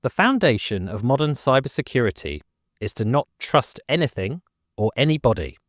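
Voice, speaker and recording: A man's voice: mid-range voice, dry recording, studio quality. A man hosting a business podcast, asking a guest curious, friendly questions. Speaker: podcast host.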